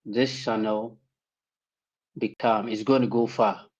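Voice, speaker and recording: A man from Ghana offering a prayer and warm advice as a panelist on a livestream call. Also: lowish voice, steady tone, highs cut off. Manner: earnest, slow, preacher-like phrasing. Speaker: guest panelist. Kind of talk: prayer and well-wishing on a livestream.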